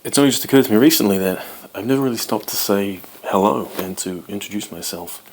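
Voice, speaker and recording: Low-voiced young Welsh-born man rambling. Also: fast, a little mellow, slight background noise, poor audio. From Wales. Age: 20s. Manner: chatty and rambling, many ums and you-knows. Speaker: guitar channel host. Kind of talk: casual talk to camera.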